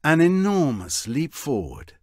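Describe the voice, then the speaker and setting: A man delivering scripted cinematic narration for a game, with a wry, deliberate storyteller's manner. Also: on the high side, sing-song, darkish tone, no room sound, studio quality. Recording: voice over prominent music score.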